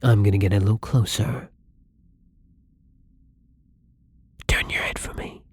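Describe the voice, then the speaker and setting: A man playing a newly turned, gentle and hesitant vampire in an intimate ASMR roleplay, speaking softly and haltingly with frequent whispers. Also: lowish voice, steady tone. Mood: tender, reassuring. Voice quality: breathy, husky. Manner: soft, halting, often dropping into whispers.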